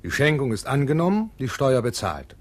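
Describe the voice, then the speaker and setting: A man's voice: mid-range voice, very animated, normal speed. A man playing an exasperated, defensive business adviser in a radio drama. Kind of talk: radio play acting.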